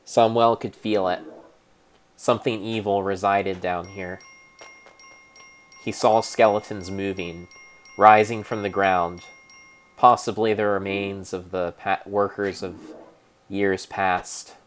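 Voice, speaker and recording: A man narrating his own gameplay as a solemn, theatrical dramatic reading, with pauses and small slips. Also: deep voice, fairly even intonation, a little hiss or hum. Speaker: game streamer narrating play. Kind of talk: mock-dramatic storybook narration over gameplay.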